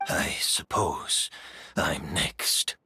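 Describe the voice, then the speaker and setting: A man playing a gentle, weary teacher in a game drama, soft-spoken, wistful and courteous. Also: very deep voice, wildly swooping pitch, very slow, in a whisper, exaggerated voice. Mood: weary, grateful. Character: gentle, weary male master florist and teacher, resigned. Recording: faint music underneath.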